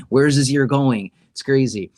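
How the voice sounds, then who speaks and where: A man's voice: medium pitch, takes it slowly, darkish tone. A man hosting a casual livestream on YouTube, explaining policy news in a conversational way and often checking in with "okay?" and "right?". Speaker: YouTube host.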